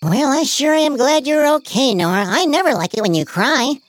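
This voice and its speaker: A talking household object from a bedtime story, played by a woman. The voice sits high and bounces up and down in a sing-song way. It is dry and close. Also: animated, moderate pace, no room sound. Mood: cheerful. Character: talking smart speaker and grumpy rug.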